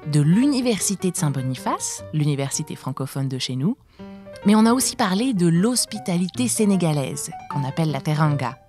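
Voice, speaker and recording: Podcast host, woman, lowish voice, scripted intro read over faint music. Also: dark tone. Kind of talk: scripted narration.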